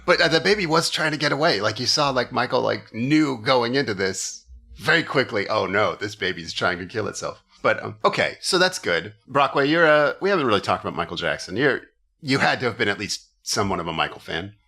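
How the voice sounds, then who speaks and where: A man's voice: mid-range voice, lively intonation, a little fast, creaky, bright, forceful tone. A man hosting a comedy podcast, telling stories casually with wry, profane jokes.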